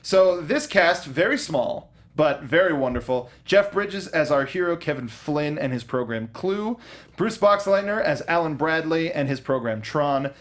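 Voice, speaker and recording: A young man co-hosting a casual movie review chat, animated and breaking into goofy voices when he acts out reactions. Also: fairly high pitch, unhurried. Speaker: podcast co-host.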